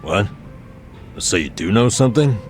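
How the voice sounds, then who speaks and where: A man's voice: fairly low pitch, expressive, moderate pace, soft background music, natural voice. An actor playing a man in a tough crew in an English video game dub. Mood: puzzled.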